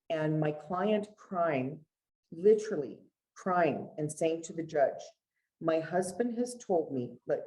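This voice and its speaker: Female family lawyer, Canadian, low register, relaxed warm tone, conversational interview storytelling. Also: poor audio.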